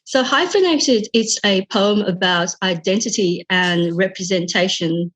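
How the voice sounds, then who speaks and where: A woman's voice: mid-range voice, unhurried, a bit bright. A woman with an Australian accent, a poet and critic, speaking fluently and conversationally over a video call. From Vietnam, raised in Australia. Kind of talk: casual video call chat.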